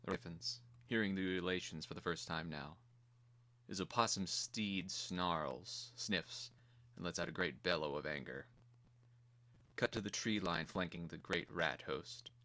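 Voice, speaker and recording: A man with a low, forceful voice narrates a dramatic screenplay. He reads deliberately and theatrically, with a grave, crisp-edged tone suited to scripted action.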